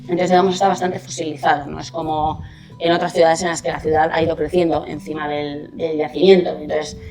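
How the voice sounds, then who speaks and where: A woman's voice: sits low, flat delivery, fairly quick, darkish tone, poor audio. A woman speaking as an archaeologist in a documentary interview, thoughtful and hesitant with many fillers. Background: guitar music.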